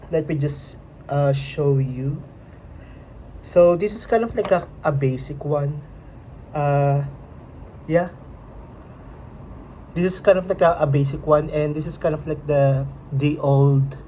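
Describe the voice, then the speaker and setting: A young woman from the Philippines speaks English as a second language, with a clear Filipino accent. Her voice sits low and mellow, and she pauses and hesitates often as she searches for the right words. A faint background hum runs under her casual vlog talk. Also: poor audio. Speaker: vlogger. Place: home at night.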